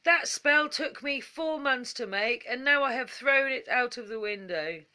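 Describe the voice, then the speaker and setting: A woman's voice: high-pitched, animated, a little slow, pushed and bright, clean tone, pitched above the performer's own voice, full character voice. A woman voicing a furious, grumpy old male wizard in a storybook, roaring and scolding.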